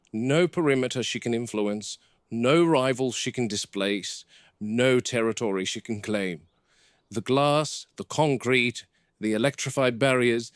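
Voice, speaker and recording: A man playing a grave, reflective middle-aged game warden, speaking a measured, precise field log in an analog horror drama. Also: medium pitch, dry recording, lightly acted. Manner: measured, solemn, precise phrasing. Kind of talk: scripted monologue as a spoken field log.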